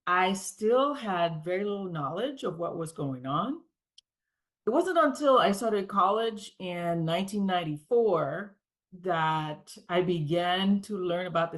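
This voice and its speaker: A woman in her forties from Haiti, with a Haitian accent, giving a prepared conference presentation in a measured, deliberate way, as if reading. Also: on the low side. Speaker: conference speaker.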